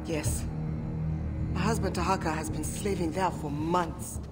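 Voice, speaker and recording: A woman's voice: fairly low pitch, fairly even intonation, a little slow, close to an everyday voice. A woman playing an exasperated, worried wife and mother in a video game, speaking emphatically. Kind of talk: dramatic video game acting. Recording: long reverb, music behind.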